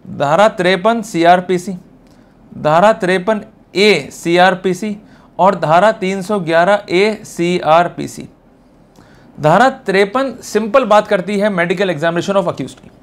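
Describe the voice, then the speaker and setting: A man's voice: high-pitched, takes it slowly. A man with an Indian accent giving an informal, explanatory law lecture, speaking emphatically and checking in directly with his listeners.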